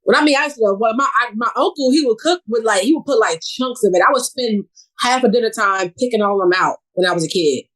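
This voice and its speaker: Chatty lady from new york city, kinda high voice, easygoing, laughs a lot. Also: fast. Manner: relaxed, chatty, laughs easily. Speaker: podcast guest, social media creator. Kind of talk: casual podcast chat.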